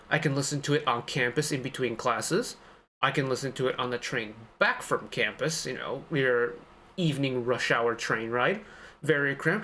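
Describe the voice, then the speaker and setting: A man's voice: on the high side, fairly bright tone. A young man giving casual, analytical commentary as the host of a music reaction video, talking in a chatty way. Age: young adult.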